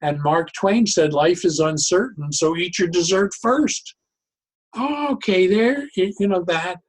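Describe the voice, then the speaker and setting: An older man speaking as an interview guest, telling stories conversationally in a reflective, halting way with pauses mid-phrase. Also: sits high, slightly rough. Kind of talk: conversational storytelling.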